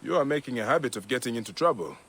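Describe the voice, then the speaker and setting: An actor playing a calm, resolute ancient warrior protector with a measured, dry-witted way of talking in a video game. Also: male voice, medium pitch, slightly rough. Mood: calm, wry. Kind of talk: video game cutscene acting.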